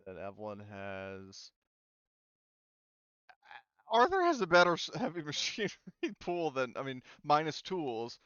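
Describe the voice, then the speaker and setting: Smooth-voiced man running a tabletop game. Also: on the high side, huge pitch swings, a little slow, pauses often, fairly bright tone, lo-fi sound. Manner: casual, rules-checking, many fillers. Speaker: game master.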